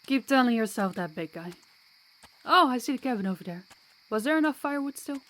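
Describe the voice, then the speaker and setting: A woman's voice: fairly high pitch, animated, a little fast, a bit bright. Chatty, kind female vampire played by a woman. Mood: playful, teasing. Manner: chatty, reassuring, playful. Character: sociable, kind, teasing female vampire guide. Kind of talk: audio roleplay acting.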